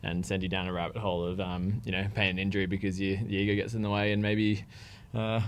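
A man's voice: low voice, flat delivery, quick pace, clean tone. A man, a physiotherapist co-host in a casual chat, speaking hesitantly with many fillers.

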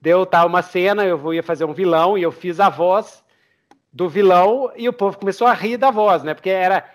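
A Brazilian man chatting casually as a podcast host, telling stories in an animated way. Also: high voice, brisk. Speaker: podcast host, game master.

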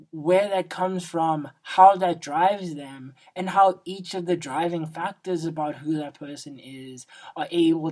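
A young man with a feminine-sounding voice hosts a solo podcast, talking casually and reflectively in slangy speech peppered with "like" and "right?". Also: poor audio. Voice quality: feminine-sounding, not a deep baritone. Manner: informal, slangy, seeks agreement with frequent "right?" and "like". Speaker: podcast host.

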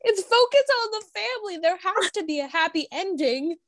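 A woman's voice: very high voice, expressive, moderate pace, a bit bright, no echo. A woman chatting casually as part of a podcast discussion. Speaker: podcast participant discussing radio dramas. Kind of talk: casual chat.

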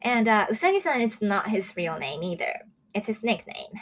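A woman in her early twenties from Japan, hosting a casual solo review show in a chatty, excitable way. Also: mid-range voice, moderate pace. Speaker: host of a manga review show.

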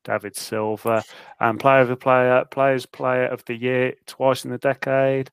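A man's voice: medium pitch, flat delivery, unhurried, clean tone, dry recording. A man co-hosting a casual podcast, reading out quiz questions and laughing at himself. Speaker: podcast co-host running the quiz.

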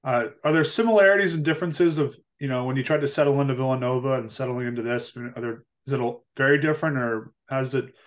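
A man hosts a podcast and puts questions to his guest quickly and encouragingly. His voice sits at a middle pitch. The recording sounds a little dull and muffled. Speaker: podcast host. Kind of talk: interview questions.

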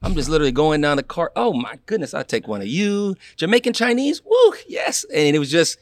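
A young man from Florida, a guest in a casual interview, telling animated stories and acting out what people said. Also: fairly high pitch, a little fast, no echo, clean studio sound. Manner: animated storyteller, acts out quotes, laughs. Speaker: guest, former college athlete.

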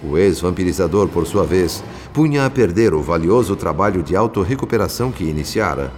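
A male actor playing a compassionate, grave spirit who narrates a Brazilian radio drama in a solemn, formal, expressive way. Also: low-pitched, fairly quick. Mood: grave, concerned. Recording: long studio reverb, music underneath. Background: music.

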